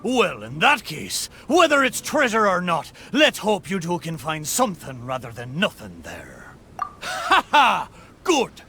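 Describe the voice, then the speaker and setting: A man's voice: sits high, huge pitch swings, measured pace, bright, forceful tone, a little hiss or hum, heavily acted. A man voicing a talking ship's spirit in a video game, a hearty, wistful seafarer with a theatrical delivery. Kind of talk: scripted video game character dialogue.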